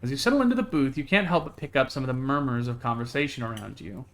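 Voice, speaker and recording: A man's voice: mid-range voice, expressive, quick pace, fairly bright tone, slight background noise. A man streaming a game live, reading it aloud and reacting with exclamations and some swearing. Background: game music.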